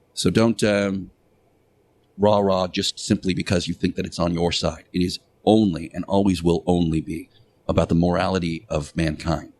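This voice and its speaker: An earnest religious teacher played by a man delivers a radio sermon in a fairly low voice. He is preachy and admonishing, leaning on key words with deliberate pauses and repetition. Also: darkish tone, slight background noise. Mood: measured, earnest. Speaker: radio show host. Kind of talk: radio monologue, moral sermon.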